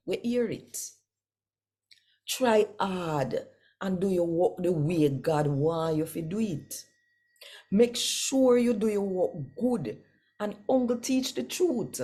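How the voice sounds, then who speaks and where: A Jamaican woman reads scripture as a devotional host. Her voice is mellow and a little husky, and she takes her time. The delivery is expressive and deliberate, leaning on key words for emphasis. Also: fairly low pitch.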